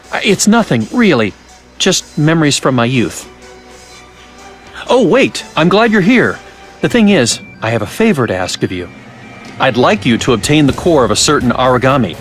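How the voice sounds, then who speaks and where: A middle-aged male scientist in a game cutscene, genial and evasive. His mid-pitched voice moves with lively swings, and his phrasing is measured, courteous and wordy. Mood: flustered, friendly. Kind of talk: video game cutscene voice acting.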